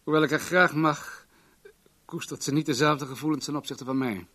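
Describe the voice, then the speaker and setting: An actor playing a wealthy, controlling businessman in a radio play, formal, guarded and self-assured in a measured way. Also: on the high side, steady tone, bright, forceful tone, slightly rough. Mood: matter-of-fact.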